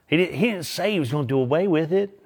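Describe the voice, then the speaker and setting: A man's voice: on the high side, animated, fast talker, a little mellow, slightly rough. A man giving a short, informal talk at a small church, folksy and conversational, poking fun at himself as he goes. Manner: folksy, conversational, self-deprecating. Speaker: lay preacher.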